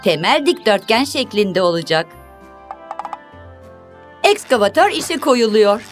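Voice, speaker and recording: A woman's voice: medium pitch, big pitch swings, a little slow. A woman narrating a children's cartoon, speaking expressively in simple, instructive phrases as if to young viewers and characters. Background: music.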